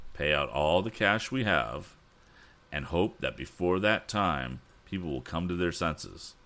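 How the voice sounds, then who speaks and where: A man voicing a grave, weary father and banker in a storybook, measured and resigned. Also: rumbling low, sharp tone, full character voice. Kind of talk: audiobook character voice.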